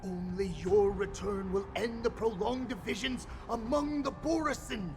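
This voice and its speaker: High-voiced, fawning beast counselor. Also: male voice, measured pace. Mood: pleading, devoted. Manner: formal, deferential, theatrical. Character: cunning humble male beast counselor disguised as fox-man, reverent, devoted. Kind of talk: dramatic video game voice acting. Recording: game audio with music behind.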